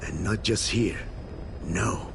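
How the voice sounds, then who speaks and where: A man's voice: deep voice, takes it slowly, creaky, noisy background, heavily acted. A man playing a grave, defiant old captive in a video game drama, speaking solemnly and with anguish. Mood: grave, accusing.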